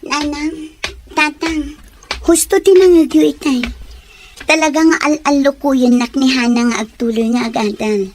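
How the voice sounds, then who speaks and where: Brief: a dutiful young daughter, high voice, respectful and soft-spoken. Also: female voice, fairly even intonation, unhurried, a little hiss or hum. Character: young woman returning to her studies, dutiful daughter. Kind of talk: radio drama acting.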